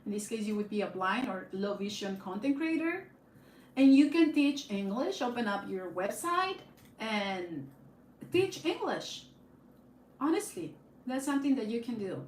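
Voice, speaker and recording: A woman's voice: fairly high pitch, big pitch swings, measured pace, darkish tone. Casual livestreamer, woman, Spanish accent, rambling chat, phone on tripod in an echoey room with faint hiss. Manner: rambling, chatty, frequent fillers and restarts, laughs often. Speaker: YouTube live streamer. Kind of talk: casual live-stream chat.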